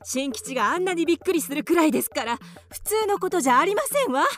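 A woman's voice: high-pitched, lively intonation, fast talker, fairly bright tone, faint music behind. An actress playing an anxious, prim wife and mother with a scolding, proper way of speaking in a radio drama. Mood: worried, reproachful. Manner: scolding, proper feminine diction.

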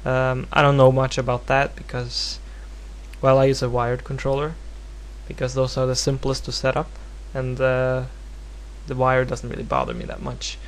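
A man from Norway speaking English as a second language with a Norwegian accent, giving a casual, unscripted software tutorial in a hesitant, rambling, self-deprecating way. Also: mid-range voice, moderate pace. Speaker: tutorial presenter. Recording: home recording with clear background noise and hum.

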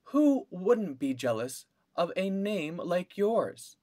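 A man's voice: high voice, big pitch swings, slow pace, a little mellow, pitched above the performer's own voice, heavily acted. A man voicing a doting, reassuring mouse mother in an emphatic, cooing storybook style.

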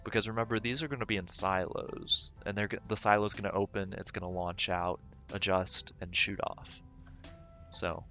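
A man streaming a game, rambling casually and thinking aloud with lots of fillers, his voice going raspy. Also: low voice, steady tone, normal speed, bright, forceful tone, soft background music. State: voice getting raspy. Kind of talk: casual gameplay livestream commentary.

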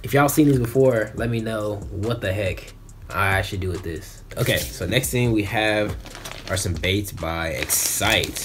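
A man's voice: lowish voice, a little slow, background noise. A young American man chatting casually as an unboxing vlogger, laid-back and slangy, recorded indoors on a camera at arm's length. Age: young adult. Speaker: fishing vlogger. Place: small bedroom, indoors.

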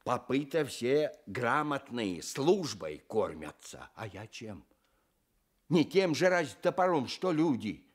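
An actor playing a gentle, humble, devout village man in his sixties with folksy rustic speech, in a radio play. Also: medium pitch, sing-song, slow, husky, exaggerated voice. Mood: hurt, protesting. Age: older. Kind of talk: Soviet radio play acting.

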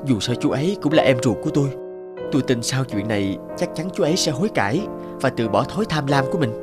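A man's voice: mid-range voice, expressive, fast, music in the background, lightly acted. A man voicing an honest, kind-hearted village elder brother in a cartoon, earnest and respectful.

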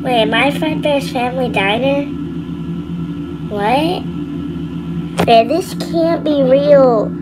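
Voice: high-pitched, takes it slowly, long pauses, heavy background noise. A performer voicing a scared young boy trapped in nightmares, talking to himself in casual slang in an amateur horror roleplay. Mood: uneasy.

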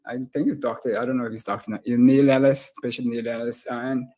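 A middle-aged Bahamian man hosts a talk show over a video call. He has a mid-pitched voice and rambles casually toward his questions in loose phrases. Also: level tone, a little fast, warm tone. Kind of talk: casual interview hosting. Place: indoor room with bookshelves and screen, on a video call.

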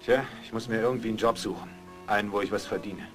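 A man's voice: on the low side, steady tone, fast, fairly bright tone, soft background music, close to an everyday voice. A man playing a wry, discouraged writer husband in a German audio drama, dry and sardonic.

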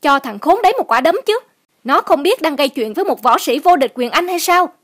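A woman voicing a hot-tempered, pushy man in a cartoon, insistent and quick to take offence. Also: high voice, lively intonation. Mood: indignant. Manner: indignant, insistent. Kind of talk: cartoon dubbing.

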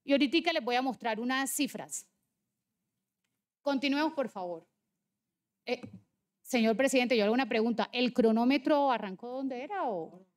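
High-pitched Colombian Caribbean woman. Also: normal speed, pauses often. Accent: Colombian Caribbean, Barranquilla. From Barranquilla, Colombia. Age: 40s. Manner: insistent, emphatic. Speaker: legislator. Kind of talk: political debate speech with slides.

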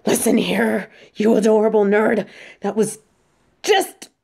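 A woman playing a casual, affectionate, teasing supervillain who gets flustered, speaking haltingly and expressively in an audio drama. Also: medium pitch, level tone, creaky, darkish tone, slightly rough, full character voice. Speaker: voice actress in an audio roleplay. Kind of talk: intimate scripted audio drama addressed to a listener.

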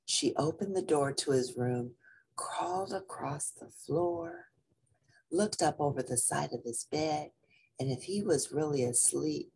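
A husky-voiced woman reading a children's picture book aloud in a dramatic, expressive way, drawing out repeated phrases. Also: low voice, low-quality recording.

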